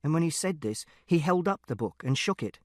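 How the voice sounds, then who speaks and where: Guy doing a furious dad, low slow and menacing, swears a lot, swings up and down, dry close sound. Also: expressive, a little mellow, dry recording, lightly acted. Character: angry middle-aged British father, volatile temper. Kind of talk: audiobook character voice.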